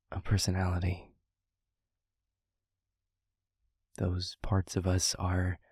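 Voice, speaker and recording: Man playing a hushed, husky, low-voiced demon mentor. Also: dry recording, studio quality, full character voice. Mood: gently teasing, explanatory. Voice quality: breathy, gravelly low murmur. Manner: hushed, halting, unhurried, confiding. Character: adult male demon mentor, reflective, gentle, quietly amused. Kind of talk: intimate roleplay audio monologue, storytelling to a listener. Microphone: close microphone, dry.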